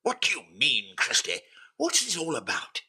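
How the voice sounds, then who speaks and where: Man acting as pompous Victorian official, very sharp forceful tone, husky, impatient, blustering, radio drama. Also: fairly high pitch, huge pitch swings, normal speed, exaggerated voice. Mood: affronted, puzzled. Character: pompous, impatient upper-class male senior customs official.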